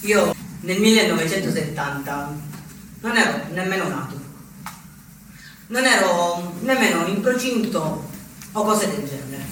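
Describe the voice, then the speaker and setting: An actor playing a reflective narrator in a deliberate theatrical monologue, repeating words between dramatic pauses. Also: male voice, sits high, lively intonation, a bit bright. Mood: reflective, measured. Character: reflective male narrator born in the late seventies. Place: theatre stage, recorded from a distance. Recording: echoey, distant stage recording with faint noise.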